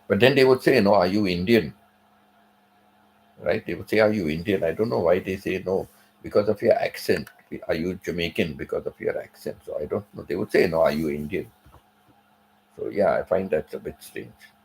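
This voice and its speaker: Low creaky-voiced man chatting casually. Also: normal speed, darkish tone, slight background noise, rough recording. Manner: repetitive, conversational storytelling. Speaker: livestream host. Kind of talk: casual livestream chat reading viewer comments.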